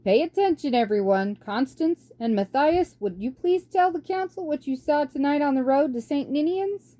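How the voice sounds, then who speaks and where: A woman's voice: high voice, lively intonation, moderate pace, mellow, pitched above the performer's own voice. A woman voicing a grave, kindly old male mouse abbot in a storybook, formal and probing.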